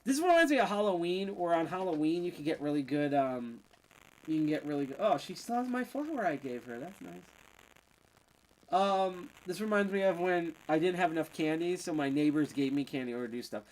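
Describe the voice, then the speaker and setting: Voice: medium pitch, lively intonation, a little fast, a little mellow, rough recording. A game streamer chatting casually and a little tipsy while playing, rambling and joking as they go. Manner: rambling, jokey, trails off and restarts. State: slightly tipsy.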